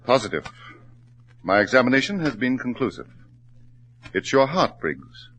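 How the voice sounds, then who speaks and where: An actor playing a grave, outwardly sympathetic doctor in 1940s radio drama style, speaking in a measured, solemn, persuasive way. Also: male voice, mid-range voice, big pitch swings, fairly bright tone, slight background noise.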